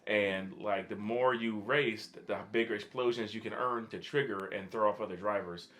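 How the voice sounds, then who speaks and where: A man's voice: on the low side, fairly even intonation, normal speed, a bit bright. A man co-hosting a casual podcast, bantering playfully and tossing in jokey remarks. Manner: joking, playful interjections. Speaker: podcast co-host. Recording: music clearly heard behind the voice. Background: background music.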